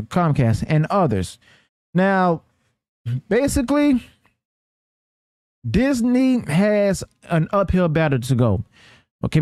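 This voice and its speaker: A man with a slightly high voice hosts a news commentary, recorded dry with very little room sound. He reads partly from notes in a halting way, stumbling and correcting himself. He often punctuates his points with short check-ins to the listener. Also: darkish tone, no room sound. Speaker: host of a news commentary channel.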